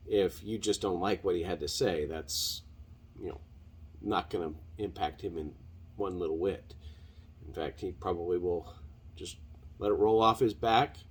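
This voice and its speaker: Male podcast host, solo and unscripted: low register, very unhurried, deliberate delivery. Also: darkish tone, slightly rough.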